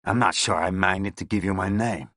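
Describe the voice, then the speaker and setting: A man's voice: low-pitched, moderate pace, a bit bright, dry recording, studio quality. A man voicing a menacing, sneering American gangster with a New York accent in an audiobook. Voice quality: sneering, contemptuous.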